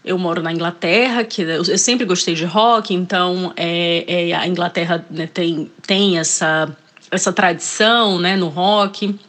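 A woman's voice: fairly low pitch, steady tone, measured pace, fairly bright tone. A woman from Ceará with a Northeastern Brazilian accent, a guest chatting casually in an interview, hesitating often and restarting her words. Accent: Northeastern Brazilian Portuguese. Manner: hesitant, many fillers and restarts. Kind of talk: casual interview, reading passages aloud.

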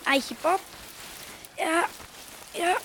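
A woman's voice: very high voice, drawn-out words, a bit bright, full character voice. A woman voicing an eager, cheeky farm boy in a wartime audio drama. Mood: excited, cheeky. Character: cheeky, excitable farm boy out with his dad.

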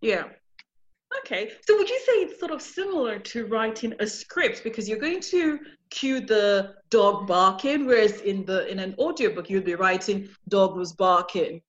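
A woman hosts a podcast interview in a casual, conversational way. Her pitch is mid-range for a woman, and the recording is a little dull and lo-fi. She tends to repeat points back to sum them up. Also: animated, moderate pace. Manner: repeats and sums up the guest's points. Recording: slightly dull lo-fi sound.

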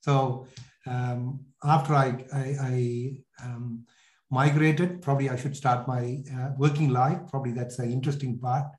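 A man in his sixties with a Sri Lankan accent, telling his life story in an interview in a halting, reflective way. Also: medium pitch, steady tone, echoey room. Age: 60s. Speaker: podcast guest, entrepreneur.

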